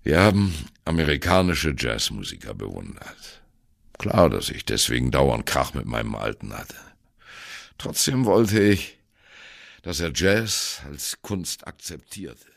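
A man playing a wry, embittered old German jazz drummer telling his life story in a radio play, with a gravelly, husky voice and a sardonic, conversational manner. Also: rumbling low, very animated, unhurried, no echo, clean studio sound, heavily acted. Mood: wry, defiant. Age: older. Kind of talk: dramatic first-person radio play monologue.

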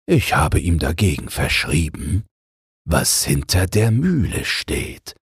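A man's voice: low voice, big pitch swings, takes it slowly, slightly rough, dry recording, clean studio sound, full character voice. A man voicing a humble, fearful miller and father in a fairy tale, earnest and pleading.

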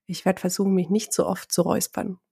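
Woman with a cold, husky podcast voice. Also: lowish voice, fast. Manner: relaxed, friendly, laughs lightly. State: has a cold, congested voice. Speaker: podcast co-host. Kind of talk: casual chat.

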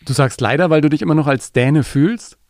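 A man's voice: a little high, brisk, darkish tone, no room sound, studio quality. A man hosting a podcast in Germany, introducing his guest and chatting casually, often tagging sentences with a questioning "ja?". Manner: chatty, enthusiastic, tags questions with "ja?". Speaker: podcast host. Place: home studio in Germany.

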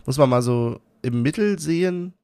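A man's voice: fairly high pitch, lively intonation, moderate pace, dark tone, dry recording. A man from Berlin co-hosting a casual football podcast, teasing and joking with his co-host. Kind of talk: casual chat about football.

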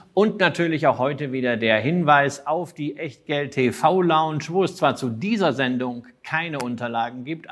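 A man's voice: medium pitch, fairly bright tone. A man co-hosting a podcast, reading a disclaimer and asking the guest questions in a matter-of-fact way. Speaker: podcast co-host.

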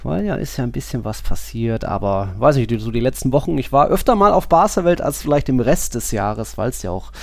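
A man's voice: medium pitch, lively intonation, a little fast, creaky, few pauses, dry recording. A man co-hosting a football podcast, chatting casually in a loose, colloquial way. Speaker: podcast co-host.

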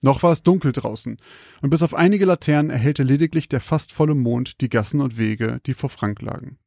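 A man with a slightly creaky voice, hosting and telling stories on a podcast. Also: mid-range voice, brisk, mellow, no echo, studio quality. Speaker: podcast host and storyteller. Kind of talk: casual banter and scripted horror storytelling.

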